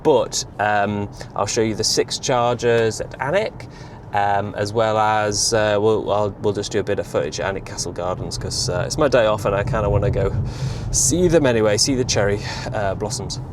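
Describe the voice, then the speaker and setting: A man talking casually and hesitantly in a rambling vlog, with a fairly monotone delivery. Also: fairly low pitch, normal speed. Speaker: vlogger reviewing electric car charging. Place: inside a moving car. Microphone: in-car camera on windscreen or dash. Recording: loud road noise in a car. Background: loud car and road noise.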